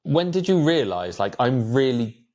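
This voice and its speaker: A man's voice: medium pitch, very animated, measured pace, a little mellow. A man hosting a casual interview, asking questions loosely, restarting words and dropping in short agreeing sounds. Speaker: host.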